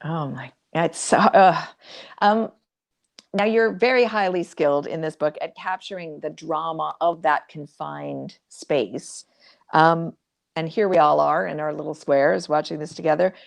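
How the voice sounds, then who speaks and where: A woman hosting a conversation casually, halting as she searches for her words. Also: low-pitched, fairly even intonation, vocal fry. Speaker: interviewer, host.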